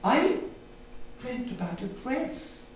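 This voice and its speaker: A man's voice: very high-pitched, sing-song, takes it slowly, full character voice. An older man voicing a timid, hopeful young child who speaks hesitantly and wistfully in a storybook reading. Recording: echoey hall from far off, some noise.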